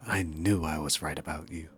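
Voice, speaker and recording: Demon king villain, played by a man: very low register, unhurried, teasing and dominant, sarcastic ASMR roleplay delivery. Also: a bit bright, a little hiss or hum, heavily acted. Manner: smug, teasing, drawn-out theatrical phrasing. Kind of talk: scripted ASMR roleplay addressed to the listener.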